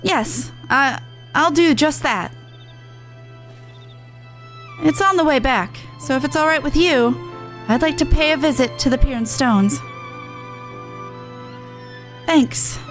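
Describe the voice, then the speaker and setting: A woman's voice: sits high, expressive, a little slow, long pauses, a bit bright, faint music behind. A woman voicing a blunt but tender-hearted young catfolk fighter from a fantasy game, frank and colloquial. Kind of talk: video game dialogue read aloud.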